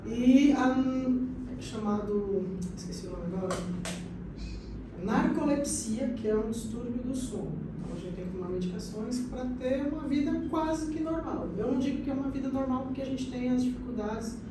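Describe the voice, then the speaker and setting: A woman's voice: sits high, normal speed. Woman, late 30s, earnest podcast guest, distant mic, room echo and noise. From São Paulo state, Brazil. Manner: earnest, explanatory, many "então, assim" fillers. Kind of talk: personal testimony in casual chat.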